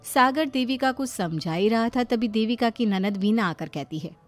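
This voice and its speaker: A woman's voice: on the high side, expressive, normal speed, over music. A woman giving scripted storytelling narration as the narrator of a moral tale.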